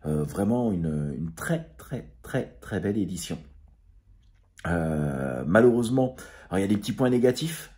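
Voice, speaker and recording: Guy w a low soft dark voice, just chatting to his followers, kinda searching for words, slangy. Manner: hesitant, groping for words. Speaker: amateur radio video host. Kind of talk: casual unscripted recap talk to followers.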